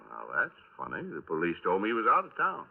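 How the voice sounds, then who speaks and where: An actor playing a gruff police lieutenant in a radio crime drama, questioning with folksy, sardonic prodding. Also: male voice, low-pitched, wildly swooping pitch, fast talker, heavily acted. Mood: needling. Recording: tinny band-limited old radio recording.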